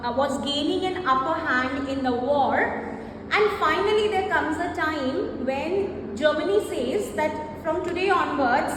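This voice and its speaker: A woman teaching a classroom lecture, explaining at length, restating her points and filling pauses with "uh". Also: high voice. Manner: explanatory, repeats and rephrases, frequent fillers. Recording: echoey classroom, faint noise.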